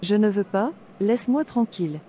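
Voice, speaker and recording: Woman as frightened cartoon woman, defiant refusal, dark mellow tone, medium pitch, background noise. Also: expressive, normal speed, close to an everyday voice. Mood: resisting.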